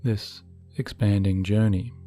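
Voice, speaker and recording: A man narrating a scripted hypnotic guided meditation in a soothing, measured, deliberate manner. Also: fairly low pitch, steady tone. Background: soft background music.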